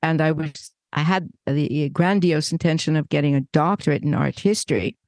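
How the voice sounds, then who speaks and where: An older woman co-hosting a podcast, telling stories in a lively, conversational way. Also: low-pitched, moderate pace, no echo, clean studio sound. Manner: animated storyteller, acts out remembered dialogue. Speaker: podcast co-host. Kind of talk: casual conversation.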